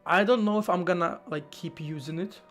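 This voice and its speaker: A man live-streaming while he codes, thinking aloud in a halting way, with many fillers and restarts. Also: sits high, soft background music. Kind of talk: casual live-stream talk while coding.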